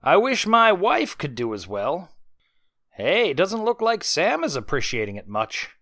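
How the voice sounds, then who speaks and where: A man's voice: high voice, wildly swooping pitch, unhurried, a bit bright, no room sound, clean studio sound, heavily acted. An actor playing a hearty, jovial male dinner guest in an old-time radio mystery.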